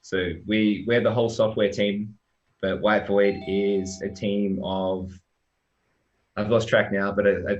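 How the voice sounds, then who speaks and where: A man with an Australian accent, introducing his work informally as a guest software developer. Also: fairly low pitch, level tone, a little slow, a little hiss or hum. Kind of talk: informal presentation on a video call. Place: remote online live stream. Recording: dull lo-fi video call audio.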